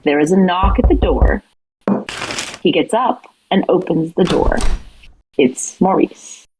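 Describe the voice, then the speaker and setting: Lowish-voiced woman narrating, noisy recording. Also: lively intonation, slow pace. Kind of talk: scripted narration of stage directions.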